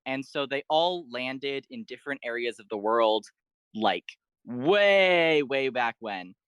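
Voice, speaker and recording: A man's voice: medium pitch, expressive, slow pace, fairly bright tone, smooth, dry recording. A man talking casually as a podcast guest, explaining fan lore in an informal way.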